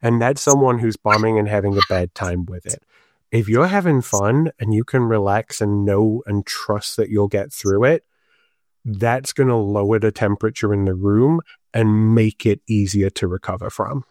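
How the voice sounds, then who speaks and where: Lively Liverpool man, low voice. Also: moderate pace, no room sound, studio quality. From Liverpool, England. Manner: animated, self-deprecating storyteller. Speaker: guest, urban planner and improv comedian. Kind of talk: conversational podcast interview.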